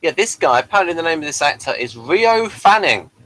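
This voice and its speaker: A man's voice: on the high side, big pitch swings, brisk, bright, forceful tone, no echo. A man giving casual, explanatory commentary on a TV episode, sharing background details as he goes. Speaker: host of a TV episode review commentary.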